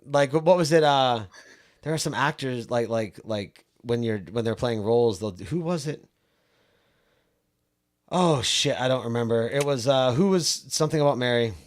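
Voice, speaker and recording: A man's voice: medium pitch, normal speed. A man from Maine co-hosting a podcast, with a faint Maine accent, speaking casually and often trailing off mid-thought. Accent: slight Maine accent. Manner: easygoing, laughs, trails off mid-sentence. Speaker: podcast co-host. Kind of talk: casual interview chat.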